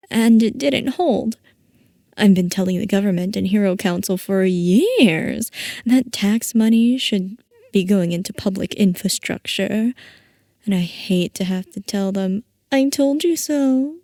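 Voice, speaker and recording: A woman's voice: medium pitch, vocal fry, warm tone, no echo, studio quality. A woman playing a playful, theatrical mad-scientist villain boss in a roleplay audio, coy and mock-innocent as she teases. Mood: smug, gleeful. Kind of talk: scripted roleplay audio, ASMR-style character acting.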